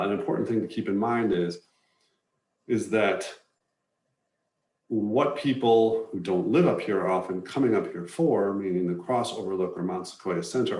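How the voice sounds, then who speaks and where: A deep-voiced man walks through an informational presentation in a measured manner. He sounds set back from the microphone, with clear room echo around his words. His explanations are plain and matter-of-fact. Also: a little mellow. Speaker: presenter to neighborhood residents. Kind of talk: informational slide presentation narration. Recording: roomy echo, distant from microphone.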